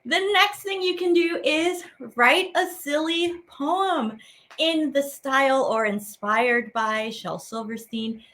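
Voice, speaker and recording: A woman's voice: high voice, takes it slowly, a bit bright. A woman hosting a children's activity lesson as a teaching artist, speaking casually to viewers in a playful, encouraging way with simple phrasing.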